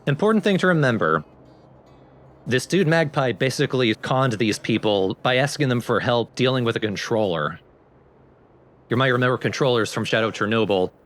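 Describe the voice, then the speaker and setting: A man's voice: mid-range voice, animated, normal speed, a bit bright, faint music behind. A man hosting a let's play with casual, explanatory game commentary.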